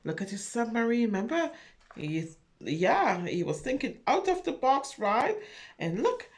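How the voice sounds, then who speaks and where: Lady doing kids storytime, high voice, slow and sing-songy, talks right to the little ones, encouraging. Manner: animated, encouraging, talking directly to small children. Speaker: children's story reader and craft host. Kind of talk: read-aloud and chat for preschoolers.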